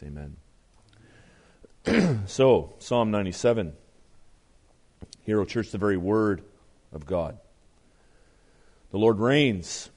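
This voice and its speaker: Guy preaching, voice kinda strained and deeper than normal, earnest. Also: darkish tone. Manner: earnest, reads scripture with rising emphasis. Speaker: pastor. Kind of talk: sermon.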